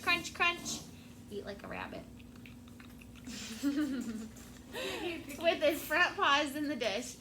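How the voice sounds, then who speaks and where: A woman teacher reading a picture book aloud to her class, expressive and stopping to prompt the children. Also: sits high, background noise.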